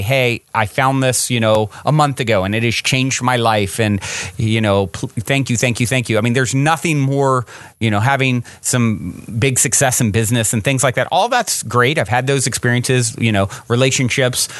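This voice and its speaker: A man's voice: medium pitch, fairly bright tone, dry recording. A man in his forties hosting a podcast, chatting in an eager, casual way, full of fillers and restarts. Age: 40s. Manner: enthusiastic, with many fillers and restarts. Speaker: podcast host. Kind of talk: casual interview chat.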